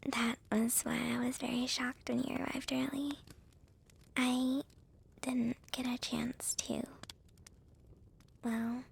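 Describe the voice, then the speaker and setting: A woman playing a shy, gentle young vampire with a crush in a whispered ASMR roleplay, speaking softly and haltingly with a breathy voice. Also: high voice, flat delivery, heavily acted. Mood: apologetic, awkward. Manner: hesitant, halting, soft-spoken.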